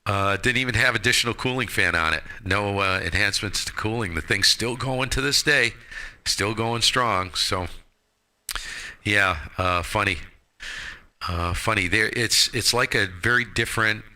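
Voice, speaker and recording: A man's voice: lowish voice, moderate pace, pushed and bright. A man chatting casually as a ham radio operator, with an emphatic, slangy and colorful way of talking. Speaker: amateur radio operator and streamer.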